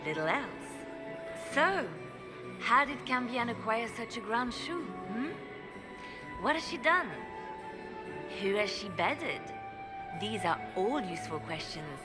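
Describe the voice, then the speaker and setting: A woman voicing a shrewd, poised female spymaster in a video game, speaking coolly with a knowing, quietly sardonic edge. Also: on the high side, very animated, slow, pauses often. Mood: wry. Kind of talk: video game cutscene dialogue. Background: orchestral music.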